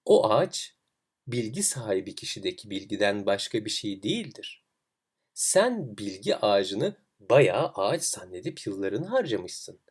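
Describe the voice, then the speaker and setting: A man voicing a kindly old sage in a fairy tale, compassionate, measured and sure of himself. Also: fairly low pitch, sing-song, slightly rough, exaggerated voice. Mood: assured teaching. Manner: soft, compassionate, assured, measured. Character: kindly, confident old male sage with grizzled beard.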